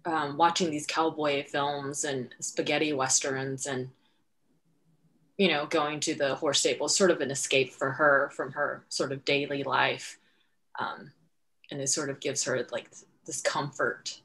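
A middle-aged woman with a low voice hosts an informal Q&A as a director. She talks off the cuff from home over a headset on a video call. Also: flat delivery, unhurried, a bit bright, low-quality recording. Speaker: writer-director hosting a Q and A. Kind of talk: casual, unscripted Q and A talk.

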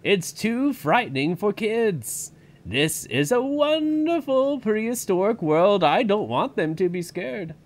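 A man's voice: sits high, big pitch swings, slow, heavily acted. A man playing a domineering, irritable old billionaire park founder in an audio drama, scolding and emphatic. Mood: insistent.

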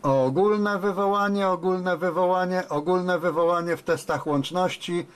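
A man's voice: high voice, unhurried, smooth. A man working as a radio operator, giving call-outs and casual commentary a desk indoors. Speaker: amateur radio operator, host.

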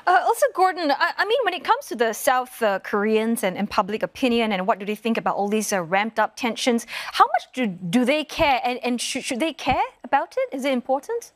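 A woman's voice: on the high side, big pitch swings, brisk, hardly any pauses, no room sound. A woman in her forties anchoring a live news interview, asking questions in a conversational, emphatic way. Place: TV news studio.

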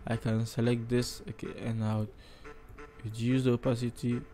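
A man with a Ghanaian accent talks through a casual screen tutorial. He is chatty and rambles a little, thinking aloud in a middling pitch. Music plays clearly behind his voice. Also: flat delivery, moderate pace. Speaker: tutorial host.

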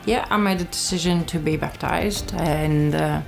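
A woman in her thirties with a Latvian accent, giving a candid, halting personal testimony. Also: low voice, vocal fry. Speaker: church member giving testimony. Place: small living room. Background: background music.

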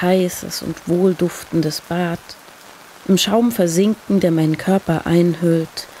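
A woman's voice: on the low side, low-quality recording. Woman narrating a story over rain. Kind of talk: scripted first-person short story narration. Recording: steady rain noise behind voice. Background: rain sound effect.

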